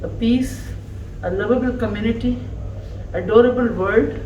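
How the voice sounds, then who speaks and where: Slow, earnest woman speaking in an echoey room. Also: fairly high pitch, highs cut off. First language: Urdu. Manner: slow, warm, emphatic, laughing between phrases. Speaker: speaker at a farewell gathering. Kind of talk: heartfelt tribute speech. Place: office gathering room. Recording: echoey room from far off, faint noise.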